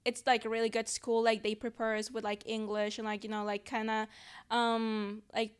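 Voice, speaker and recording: A woman's voice: fairly high pitch, level tone, moderate pace, clear, resonant tone. A woman in her twenties from El Salvador, a native Spanish speaker, talking as a podcast guest in casual chat, hesitant, with many fillers and restarts. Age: 20s.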